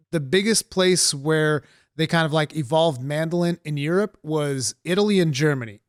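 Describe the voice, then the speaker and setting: A man from Portugal, co-hosting a podcast, telling stories in a casual, animated, emphatic way. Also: on the high side, dry recording, clean studio sound. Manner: animated storytelling, emphatic asides. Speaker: podcast co-host. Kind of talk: casual chat.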